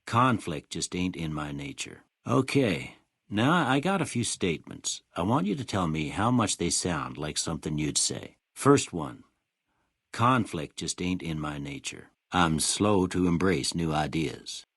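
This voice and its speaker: A kindly old frontier town doctor for a video game. His voice is low and husky, with a folksy American Western drawl. He speaks without hurry, his pitch rising and falling freely, in a close, dry recording. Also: lively intonation, a little slow, fairly bright tone, no room sound, exaggerated voice. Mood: measured, folksy. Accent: American Western or Southern drawl. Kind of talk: scripted game dialogue.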